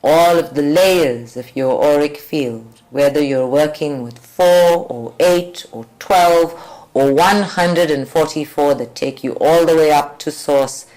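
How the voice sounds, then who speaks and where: A woman leads a guided group meditation with deliberate, measured phrasing and long pauses. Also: low voice, a little mellow, poor audio. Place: group meditation at a creativity centre. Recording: live recording with music behind. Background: faint music.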